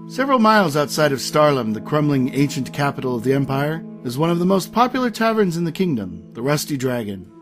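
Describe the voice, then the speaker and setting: A man reading scripted narration for a high fantasy audio drama, as its storyteller. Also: big pitch swings, fairly quick, few pauses. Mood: measured, grand. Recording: music under the voice.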